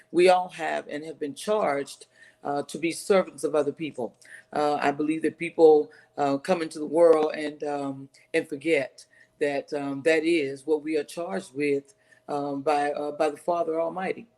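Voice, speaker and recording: Woman, middle-aged, low-pitched, earnest, emphatic, conversational interview guest, video call. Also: a little mellow. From Texas, United States. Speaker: interview guest, city council candidate.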